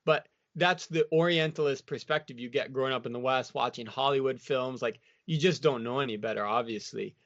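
A man's voice: medium pitch, brisk, hardly any pauses, a bit bright, dry recording. A man talking as an interview guest, in a loose, rambling way full of "you know" and "like". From United States. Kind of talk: casual reflective interview talk. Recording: dull lo-fi dry sound.

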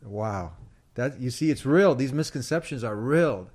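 A man's voice: mid-range voice, lively intonation, a little slow, darkish tone. A man interviewing a guest in a conversational way, reacting emphatically with exclamations.

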